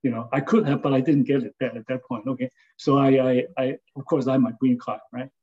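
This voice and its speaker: Older man, from Hong Kong, halting reminiscence, mid-pitched voice, dull lo-fi sound. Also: fairly even intonation. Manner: halting, many fillers and restarts, tags with "okay?". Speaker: interviewee, architect and former design lecturer. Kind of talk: casual spoken reminiscence.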